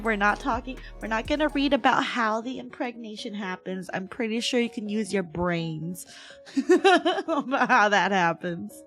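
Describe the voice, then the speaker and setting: A woman livestreamer with a hoarse, cracking voice, chatting casually with her viewers in a playful, giggly manner. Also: mid-range voice. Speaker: livestream host, VTuber. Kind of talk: casual livestream chat, reading and answering chat messages. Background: background music.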